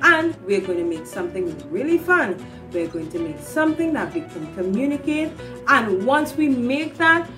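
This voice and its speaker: A woman's voice: high voice, distant mic. A woman in her thirties with a Trinidadian accent, hosting a preschool lesson and speaking in simple, instructive phrases to young children. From Trinidad and Tobago. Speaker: children's show host, preschool teacher. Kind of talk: presenting a preschool circle-time lesson to children. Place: small indoor room with a classroom display wall.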